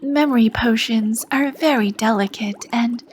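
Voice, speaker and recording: A sly, charming female potion master purrs at an unhurried pace, as a woman acts her out. Her voice sits a little high and soft, and she slips into breathy whispers as she flirts over her brewing. A faint noise hums underneath. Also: close to an everyday voice. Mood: soft flirty. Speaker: shopkeeper. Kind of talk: ASMR roleplay.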